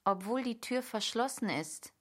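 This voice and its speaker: A woman's voice: on the high side, animated, a little slow, fairly bright tone. An actress playing a poised, secretive married lady of the bourgeoisie in a literary radio drama. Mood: coolly dismissive.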